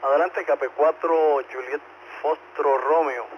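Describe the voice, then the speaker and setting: A man's voice: a little high, fairly even intonation, slow pace. Male radio net controller, Puerto Rican accent, courteous, mid-range voice over a lo-fi, static-laden two-way transmission. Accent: Puerto Rican Spanish. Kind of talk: radio net check-in exchange. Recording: muffled ham radio transmission with clear static.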